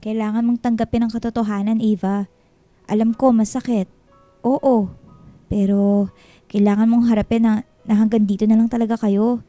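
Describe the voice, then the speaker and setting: An actress playing a frank but caring married best friend giving advice in a radio drama. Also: female voice, fairly high pitch, little pitch movement, moderate pace, pauses often, warm tone, no echo, soft background music, natural voice. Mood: earnest advising. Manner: candid, consoling, advising. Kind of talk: Filipino radio drama acting.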